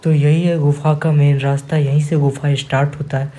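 A man guiding viewers on a walking tour through a cave with a stream, talking to them as he goes. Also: on the high side. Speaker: travel vlogger. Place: outdoors in a rocky cave gorge with a rushing stream and waterfall. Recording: flat voice under loud rushing water. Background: loud rushing water.

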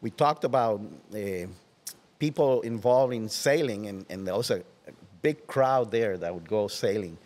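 An older man from Puerto Rico, speaking English with a Puerto Rican Spanish accent, tells stories as an interview guest in a relaxed way, often trailing off into soft asides. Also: fairly low pitch, normal speed, big-room sound. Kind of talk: casual interview. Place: large watersports shop, indoors. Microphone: headset.

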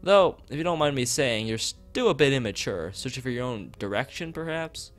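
A man's voice: medium pitch, animated, moderate pace, close to an everyday voice. Man reading as a blunt, doubtful art critic. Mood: patronizing. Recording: music behind the voice.

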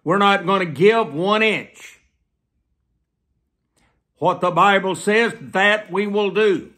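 An American man in his seventies preaching to camera in an emphatic, deliberate way, repeating phrases for weight. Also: high-pitched, sharp tone. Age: 70s. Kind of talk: sermon delivered to camera. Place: small plain room indoors.

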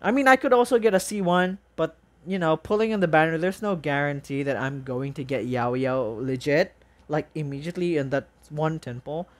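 A woman's voice: deep voice, brisk. A woman hosting a reaction video, gushing informally about a game trailer with the odd mild swear. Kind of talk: excited casual reaction commentary to a game trailer.

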